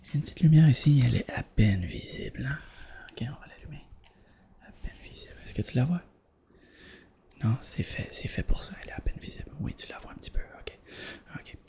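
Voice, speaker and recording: Whispering Quebec French man, creaky ASMR voice. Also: medium pitch, expressive, fairly quick. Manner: gentle, repetitive phrasing with reassuring check-ins. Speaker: ASMR performer playing an eye examiner. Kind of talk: ASMR roleplay, soft whispering to the listener.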